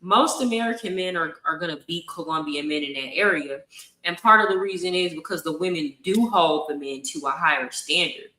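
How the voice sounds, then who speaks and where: Woman, blunt emphatic talker, forceful bright tone, echoey room. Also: deep voice, a little fast. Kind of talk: casual opinion chat.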